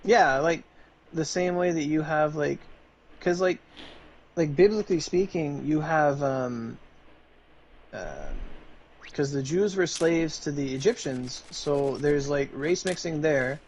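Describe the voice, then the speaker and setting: A man's voice: a little high, takes it slowly, a little mellow, a little hiss or hum, low-quality recording. A man streaming, chatting casually in a rambling way full of fillers and restarts.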